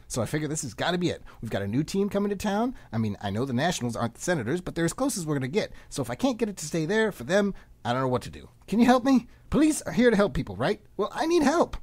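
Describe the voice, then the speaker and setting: Man acting frantic fast-talking rambler, husky and wildly animated. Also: on the high side. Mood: desperate, pleading. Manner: excitable, rambling, self-interrupting storyteller. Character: frantic, rambling middle-aged man, arrested, obsessed with a curse. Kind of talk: comic radio play acting.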